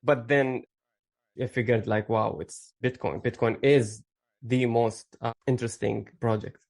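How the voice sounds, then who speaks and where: A man's voice: medium pitch, fairly even intonation, a little slow, gravelly, a little mellow. A man in his thirties from Syria, a podcast guest telling his story in a rambling way, with fillers and restarts. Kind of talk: casual interview storytelling.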